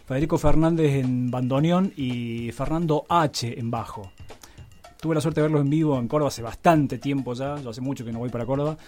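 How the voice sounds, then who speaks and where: A man with an Argentine accent giving a casual radio column, halting and rambling as he repeats himself. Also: mid-range voice, darkish tone, soft background music. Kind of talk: casual studio chat.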